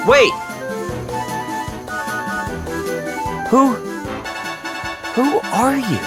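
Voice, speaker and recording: High-pitched, eager young thug. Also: male voice, lively intonation, extremely slow, exaggerated voice. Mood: startled. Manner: urgent, eager. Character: eager, hot-headed young male reformed thug. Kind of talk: video game cutscene acting. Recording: loud game music behind.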